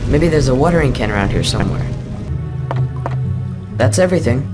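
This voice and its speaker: A man's voice: mid-range voice, lively intonation, fairly quick, over loud music, natural voice. An actor playing a bookish, earnest orphan boy in a video game, speaking matter-of-factly.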